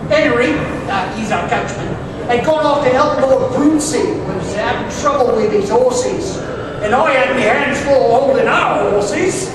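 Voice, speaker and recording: Half-deaf elderly servant male actor, stubborn, high voice, old-time radio style, distant in a reverberant hall. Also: a little slow. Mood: proud, stubborn. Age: older. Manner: plain-spoken, rustic servant talk. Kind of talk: stage performance of old-time radio drama. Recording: echoey hall, far from mic, loud noise.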